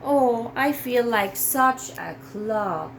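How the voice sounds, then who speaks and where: A woman's voice: fairly high pitch, expressive, very slow, vocal fry, slight background noise, full character voice. A woman voicing a clumsy, tender-hearted male giraffe in a children's storybook. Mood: dejected.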